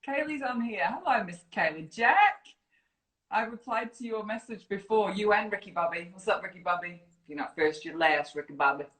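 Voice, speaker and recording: A woman with a northern English accent softened by years in New Zealand, chatting casually and teasingly on a live stream as she reads out viewer comments. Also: mid-range voice, animated, normal speed. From Yorkshire, northern England. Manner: playful, teasing. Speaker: fitness program director, interview guest. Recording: roomy, echoey live online video chat.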